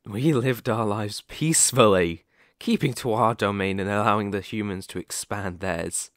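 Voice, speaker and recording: A man voicing a sadistic, possessive werewolf, wounded and menacing, in a dramatic ASMR roleplay monologue with drawn-out pauses. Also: lowish voice, dry recording, studio quality, lightly acted. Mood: bitter, anguished.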